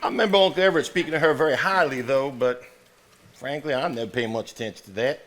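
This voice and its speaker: A man's voice: medium pitch, fast talker, fairly bright tone, slight background noise, close to an everyday voice. A man playing a contrary, blunt elder brother from Southern gentry in a stage drama. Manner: argumentative, drawling, blunt. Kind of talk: live stage drama acting.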